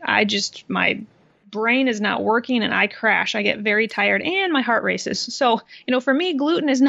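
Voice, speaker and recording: A woman's voice: a little high, gravelly, a bit bright, highs cut off. A woman in her thirties from Iowa, a health practitioner explaining her approach to patients in a podcast interview, with light laughs between points. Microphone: remote computer recording.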